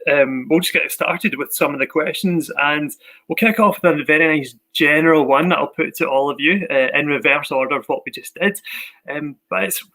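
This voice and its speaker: A man's voice: on the high side, pushed and bright, rough recording. A man from the Glasgow area hosting a live university Q&A, upbeat and enthusiastic with frequent fillers. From Glasgow area, Scotland. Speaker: host of a university Q&A.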